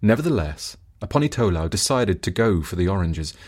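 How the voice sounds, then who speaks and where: Husky male storyteller with swooping intonation. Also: low voice, sing-song, brisk, a little mellow. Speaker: storybook narrator. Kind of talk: scripted fable narration.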